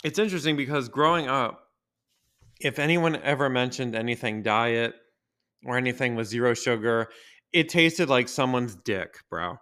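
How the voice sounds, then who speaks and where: A man in his thirties talks through a loose solo podcast. His voice sounds a little stuffy from a cold. He rambles with crude jokes, and his pitch sits in an ordinary middle range. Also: moderate pace, fairly bright tone, clean tone. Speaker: podcast host. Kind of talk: casual solo podcast monologue.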